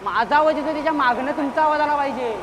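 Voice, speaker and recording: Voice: high voice, quick pace, natural voice. A performer playing a bossy, irritable village secretary in a comic stage play, scolding and barking repeatedly. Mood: irritated, scolding. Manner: scolding, exasperated, repetitive barking. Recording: noisy live stage recording.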